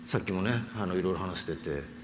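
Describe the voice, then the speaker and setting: Low-voiced guy talking super fast on a panel, lively pitch, noisy. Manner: rambling with many fillers and restarts. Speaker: panel guest. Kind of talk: casual panel remarks.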